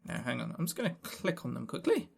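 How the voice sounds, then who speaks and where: A man chats casually as a podcast co-host giving reviews. His pitch swings in a lively way, and he is dry and critical, often stumbling over the first word and starting it again. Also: quick pace, creaky, slightly rough.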